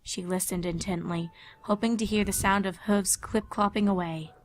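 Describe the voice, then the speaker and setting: A woman reading scripted dramatic story narration in a measured, expressive way. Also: mid-range voice.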